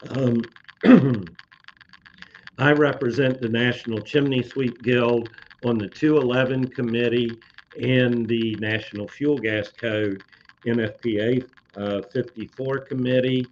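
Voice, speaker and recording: Slightly hoarse guy doing a live webcast q&a, slow with lots of uhs, kinda muffled audio, faint hiss. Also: mid-range voice, steady tone, darkish tone. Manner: slow, halting, many "uh" fillers and restarts. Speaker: code expert on a live industry webcast. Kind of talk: casual live-stream talk, answering viewer comments.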